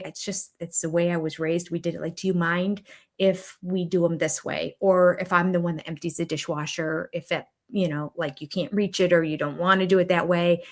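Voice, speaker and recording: An older woman hosting a casual watch-along stream, chatting about a reality show and reading viewer comments aloud. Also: lowish voice. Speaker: YouTube host.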